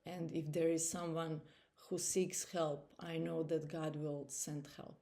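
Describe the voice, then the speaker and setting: Slow, low-voiced Croatian woman. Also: little pitch movement, warm tone. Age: 40s. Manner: slow, measured, earnest, with pauses. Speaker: testimony speaker. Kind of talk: personal testimony.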